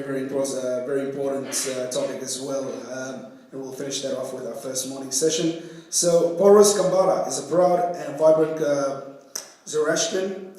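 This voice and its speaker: A man moderating a conference session, introducing the next speaker with halting ums and uhs. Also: medium pitch, a little mellow. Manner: halting, filler-heavy. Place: large conference hall. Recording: echoey hall from far off.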